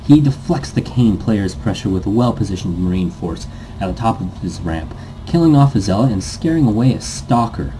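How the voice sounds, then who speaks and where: A man's voice: fairly low pitch, lively intonation, normal speed, noisy background. A man reading visual novel narration aloud dramatically, stumbling now and then and rereading phrases. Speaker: reader, host of a let's read series.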